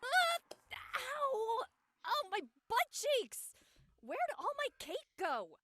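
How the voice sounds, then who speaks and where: A woman playing an excitable, self-deprecating young woman with a playful, dramatic way of talking in an audio drama. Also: extremely high pitch, slow, exaggerated voice. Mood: comic dismay. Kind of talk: acted audio drama dialogue.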